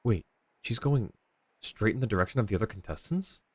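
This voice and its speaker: Deep-voiced, animated male game streamer. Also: brisk, breathy edge, no echo. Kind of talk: casual live game commentary.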